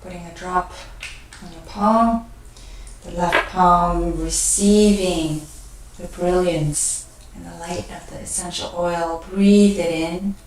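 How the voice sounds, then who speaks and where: Slow, echoey woman guiding meditation. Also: fairly low pitch, fairly even intonation, a little hiss or hum. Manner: gentle, unhurried, pausing between short phrases. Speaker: guided meditation leader. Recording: very echoey room, distant mic.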